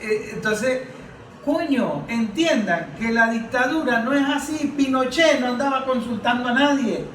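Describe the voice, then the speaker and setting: A high-pitched male voice with a Venezuelan accent comes across a roomy space, some way from the mic. He argues emphatically in an informal panel discussion, throwing out rhetorical questions. Faint noise sits behind him. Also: a little slow, a bit bright, far from the mic. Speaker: political analyst on a panel.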